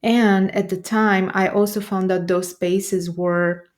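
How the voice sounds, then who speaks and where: A woman's voice: mid-range voice, steady tone, slow. A woman from Romania with a Romanian accent, a nervous system coach speaking as a podcast guest in a reflective, hesitant way.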